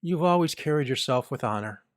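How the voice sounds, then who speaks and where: A man's voice: mid-range voice, expressive, brisk, no room sound, studio quality, natural voice. A man playing a loyal, protective mouse comrade in an audio drama, firm and earnest in manner. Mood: solemn, moved.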